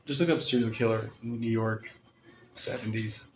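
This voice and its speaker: A man hosting a podcast in casual chat, rambling and joking with bursts of loud laughter. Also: lowish voice, fairly even intonation, normal speed, a little hiss or hum. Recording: roomy room with faint ambience.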